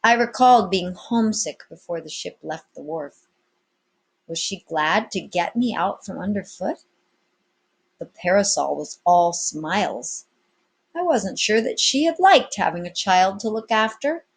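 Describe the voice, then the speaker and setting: A woman reading a story aloud expressively like a teacher, pausing for casual explanatory asides. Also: medium pitch, lively intonation, gravelly, breathy edge.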